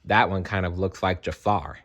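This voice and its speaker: A storyteller reading aloud to children in an expressive way, with dramatic pauses and playful asides. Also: low voice.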